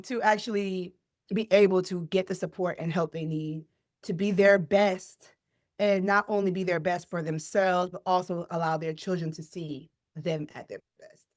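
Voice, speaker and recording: A woman's voice: lowish voice, no echo, clean studio sound. A woman from Chicago, around her thirties or forties, talking casually as an interview guest, playful, emphatic and slangy. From Chicago, United States. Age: middle-aged millennial, 30s to 40s. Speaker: podcast guest, wellness coach and motivational speaker.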